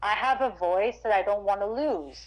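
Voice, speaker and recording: A woman's voice: medium pitch, moderate pace, low-quality recording. A woman singer talking casually in an interview about her experiences on stage, often restarting her sentences. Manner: chatty, frequent restarts and stammers. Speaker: guest singer-guitarist.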